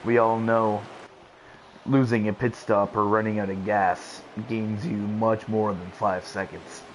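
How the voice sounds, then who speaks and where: A man giving casual commentary on a sim race, excitable and lively as he calls the action. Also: on the low side, measured pace, a little hiss or hum, poor audio.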